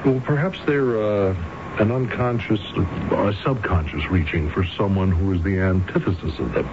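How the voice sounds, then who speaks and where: Man acting deep-voiced, probing psychiatrist. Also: normal speed, close to an everyday voice. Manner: questioning, reasoning, hesitant fillers. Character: probing, persistent male psychiatrist, patient but skeptical. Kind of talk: 1970s radio drama acting. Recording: dull noisy vintage radio recording.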